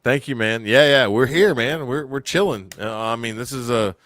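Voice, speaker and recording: A man's voice: medium pitch, moderate pace, a bit bright, no echo, studio quality. A man from Alabama hosting a casual live-stream podcast, rambling and joking with chat. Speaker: podcast host.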